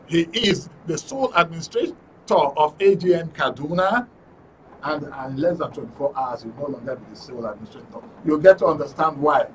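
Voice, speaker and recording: A Nigerian man hosting a live studio panel show, introducing things haltingly and repeating phrases. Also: fairly high pitch, lively intonation. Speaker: radio and TV programme host. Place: radio and TV studio. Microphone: studio microphone. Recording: faint noise, distant studio mic.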